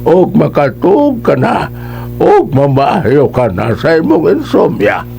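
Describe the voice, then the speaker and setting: A comic village folk healer with a Cebuano accent and a gravelly voice. He drawls very slowly and repetitively, swinging widely in pitch, in radio drama style. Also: wildly swooping pitch, dark tone, slight background noise, exaggerated voice. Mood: slow, explanatory. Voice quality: creaky. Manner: drawn-out, repetitive, comic explaining.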